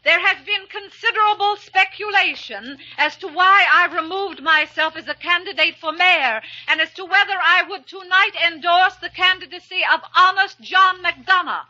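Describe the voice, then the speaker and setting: A woman's voice: very high-pitched, normal speed, hardly any pauses, sharp tone, exaggerated voice. An actress playing a proud, principled woman newspaper editor in an old-time radio drama, earnest and articulate. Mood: public oratory. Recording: dull lo-fi old radio recording.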